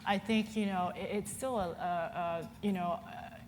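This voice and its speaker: A woman's voice: mid-range voice, fairly even intonation, normal speed, darkish tone. A woman from Hong Kong speaking as a panelist at a conference, chatty and full of fillers and restarts. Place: conference hall. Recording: reverberant hall with faint noise.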